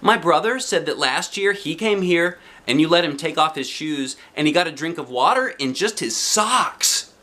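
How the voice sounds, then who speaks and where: A man's voice: a little high, expressive, normal speed, hardly any pauses, sharp tone, pitched above the performer's own voice. A man voicing a chatty little kindergarten girl who tattles in a rambling way, from a children's storybook. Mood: tattling.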